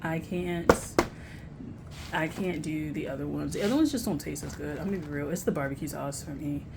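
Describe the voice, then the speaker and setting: Low-voiced young American woman, casual vlogging. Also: fairly quick, gravelly, background noise. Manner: candid, informal, slangy. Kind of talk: casual grocery haul chat to camera.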